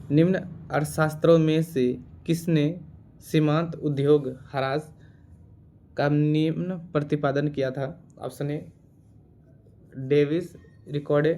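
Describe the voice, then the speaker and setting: A man teaching through exam quiz questions, reading options and repeating answers deliberately. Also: fairly high pitch, mellow, slight background noise.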